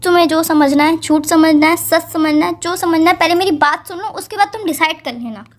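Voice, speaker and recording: Woman acting a very high-pitched, exasperated young girlfriend. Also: brisk. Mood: pleading, explaining. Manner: pleading, insistent, defensive. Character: exasperated young girlfriend pleading to be heard and believed. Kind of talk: acted romantic phone-call drama in casual colloquial speech.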